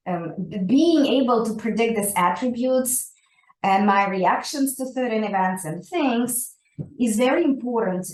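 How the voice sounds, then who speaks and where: Echoey woman, native Ukrainian speaker. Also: mid-range voice. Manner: thoughtful, many fillers and restarts, ends points with "right?". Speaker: guest scientist. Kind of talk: conversational interview answer.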